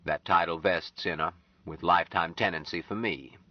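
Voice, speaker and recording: A man reading an audiobook, voicing a gruff older man who speaks dryly and bluntly. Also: deep voice, steady tone, normal speed, no echo. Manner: dry, blunt, grumbling. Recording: slightly dull lo-fi audio.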